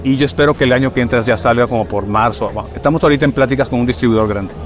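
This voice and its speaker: Fast-talking middle-aged man, mixed accent. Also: medium pitch, crowd noise. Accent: mixed Caribbean and Mexican Spanish. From Puerto Rico. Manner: chatty, storytelling, fillers like "este". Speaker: film director, podcast guest. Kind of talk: casual conversation.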